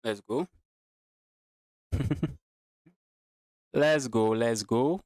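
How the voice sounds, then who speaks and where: A man's voice: mid-range voice, very slow, darkish tone, no room sound. Nigerian man, dry close sound, thinking aloud. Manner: repeats phrases, chatting with viewers. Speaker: chess streamer. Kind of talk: casual live game commentary, thinking aloud.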